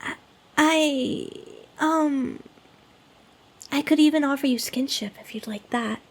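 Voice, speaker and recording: A woman voicing a lonely, sweetly clingy demon in an ASMR roleplay, speaking to the listener in a gentle, pleading, earnest way. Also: sits high, slow pace. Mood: devoted, yearning. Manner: gentle, pleading, earnest, with hesitant stammers and hushed dips.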